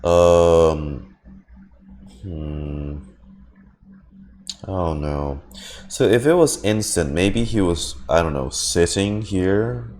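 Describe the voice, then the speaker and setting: A man gives casual live game commentary in a very low voice. He takes his time, musing aloud and feeling his way hesitantly through each thought. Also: very slow, long pauses, darkish tone. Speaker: game streamer.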